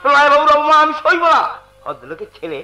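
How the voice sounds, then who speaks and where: A man's voice: very high-pitched, big pitch swings, fast, sharp tone, heavily acted. A man playing a pompous, blustering elderly Brahmin landlord in a folk opera, stammering and repeating his threats. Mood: scheming, threatening. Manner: stammering repetitions and a recurring threatening catchphrase. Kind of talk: loud Bengali folk opera stage acting. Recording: echoey stage with faint music.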